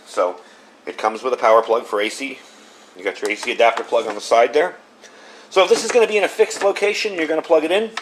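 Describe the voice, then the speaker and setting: A man's voice: mid-range voice, low-quality recording. Man casually reviewing gear over background noise. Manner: informal, explanatory, step-by-step. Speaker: product reviewer. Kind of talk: casual hands-on gadget review. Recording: clear steady background noise.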